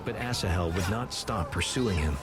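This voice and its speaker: Male narrator, low register, measured and solemn scripture reading over clear music.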